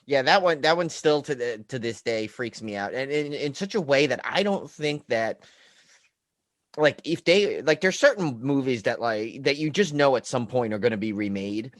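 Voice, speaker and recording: A man's voice: medium pitch, animated, moderate pace. A man co-hosting a podcast, chatting casually and informally in a loose, rambling conversational way. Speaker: podcast co-host. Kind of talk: casual chat.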